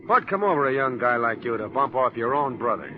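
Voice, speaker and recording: An actor playing a gruff, wary policeman with tough-guy slang in a 1940s radio drama. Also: mid-range voice, animated, brisk, close to an everyday voice. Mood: gruff, accusing. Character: gruff, slangy, wary male police officer escorting a prisoner. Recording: dull lo-fi 1940s radio recording.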